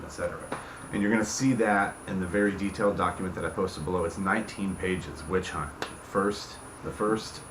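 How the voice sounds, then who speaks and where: Deep voiced guy rambling casually to viewers, stops and starts, echoey room w noticeable noise behind him. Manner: halting, rambling, often breaking off mid-thought. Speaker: tabletop game campaign creator hosting a video channel. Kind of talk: casual unscripted explainer to viewers.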